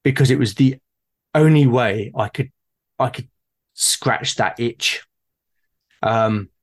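A man in casual, reflective conversation, speaking in halting, thoughtful phrases. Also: mid-range voice. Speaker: podcast speaker, solo gamer.

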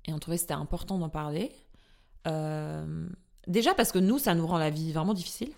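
A woman's voice: deep voice, brisk, creaky. A woman who is not a native French speaker, co-hosting a podcast in a casual, earnest chat, laughing easily and rephrasing as she goes. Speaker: podcast co-host. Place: studio in a Swiss city.

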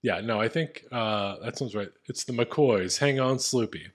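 A man with a deep voice co-hosts a casual comedy podcast. He talks in a laid-back way and reads listener reviews aloud. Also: measured pace. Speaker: podcast co-host.

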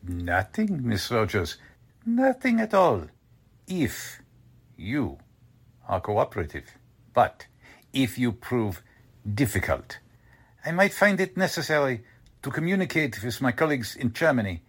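Man acting a slow, husky, menacing Nazi agent. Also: mid-range voice, very animated, fairly bright tone, exaggerated voice. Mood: quiet threat. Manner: deliberate, suave, veiled threats. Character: cold, courteous Nazi German agent, menacing male kidnapper. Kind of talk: old-time radio drama acting.

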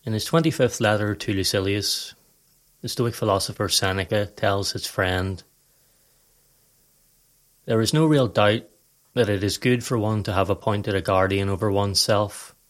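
A man with a low-pitched voice narrates a guided meditation. His delivery is scripted, calm and measured. He gives instructions in a composed, even-handed way.

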